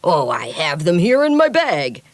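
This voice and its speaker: A woman reads the part of a jolly, boastful, stout old sea captain in a storybook, booming and hearty with a lower, louder voice. The sound is dry and close. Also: huge pitch swings, a little slow, no room sound, heavily acted. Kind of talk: storybook reading.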